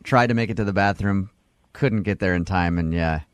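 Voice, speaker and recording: A man's voice: low-pitched, brisk, smooth, no room sound. A man hosting a radio show with casual, joking morning banter. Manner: joking, teasing.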